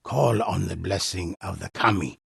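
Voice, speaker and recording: Older mystic storyteller, video game narration, solemn, portentous, gravelly, unhurried, close dry sound. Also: male voice, deep voice, fairly bright tone, no room sound, exaggerated voice. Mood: reverent, hushed intensity. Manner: solemn, measured, portentous delivery. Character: mysterious older male storyteller, solemn, reverent teller of samurai legends.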